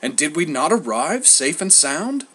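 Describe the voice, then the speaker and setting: A man's voice: a little high, sing-song, measured pace, fairly bright tone, slightly rough, voice pushed up, heavily acted. A man voicing a timid young servant boy in a storybook, innocent, polite and earnestly pleading.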